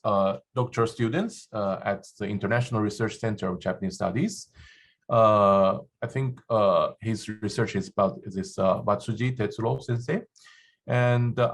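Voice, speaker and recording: Halting low-voiced man chairing a seminar. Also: gravelly. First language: not English. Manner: halting, many fillers and restarts, laughs at himself. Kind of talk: hesitant spoken introduction. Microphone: handheld or desk microphone.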